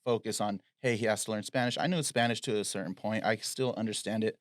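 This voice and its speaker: Young guy cohost, lowish voice, laid back, dry sound. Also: steady tone, fast, dry recording. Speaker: podcast co-host. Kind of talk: casual chat.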